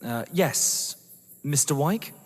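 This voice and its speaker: An actor playing a reserved, polite young guest in an audio drama, hesitant and terse. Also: male voice, a little high, lively intonation, slow pace. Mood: polite, wary. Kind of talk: stage thriller audio drama acting. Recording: long reverberant hall echo.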